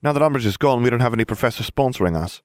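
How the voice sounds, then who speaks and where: A man voicing an eager, quick-talking teenage girl witch. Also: mid-range voice, expressive, a little mellow, no echo, clean studio sound, pitched above the performer's own voice, lightly acted.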